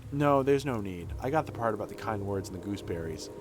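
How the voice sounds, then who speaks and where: A man voicing a weary, wary young man in a game, speaking low and subdued as he questions children. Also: lively intonation, fast, over music, lightly acted.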